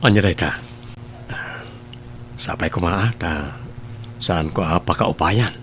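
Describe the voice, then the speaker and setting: A man's voice: deep voice, slow pace, a bit bright, slight background noise. A man acting an earnest young suitor in love in an Ilocano audio drama. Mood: tender.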